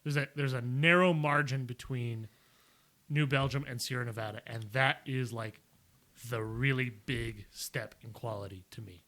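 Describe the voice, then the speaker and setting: Young man, bright voice, casual beer talk, chatty, laughs a lot. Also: medium pitch, fairly even intonation, normal speed. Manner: chatty, laughs often, hedging and restarting. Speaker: podcast co-host reviewing beer. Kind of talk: casual chat.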